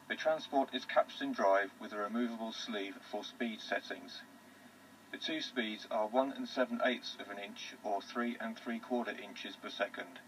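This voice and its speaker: A man reading out a test recording in a steady, deliberate way, counting and listing technical details, into a tape recorder's handheld microphone indoors. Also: on the low side, a little hiss or hum, rough recording.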